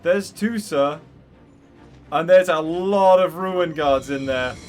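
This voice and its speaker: A man's voice: on the high side, takes it slowly, a little mellow. A man giving casual, chatty and enthusiastic gameplay commentary as the host of a gaming video. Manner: enthusiastic, chatty reactions to the game. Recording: game music behind the voice. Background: video game music.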